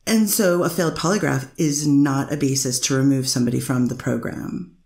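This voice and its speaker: A woman playing a low creaky defense lawyer. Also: brisk, darkish tone, roomy sound. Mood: measured. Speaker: defense attorney questioning a witness. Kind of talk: readers theater courtroom reading.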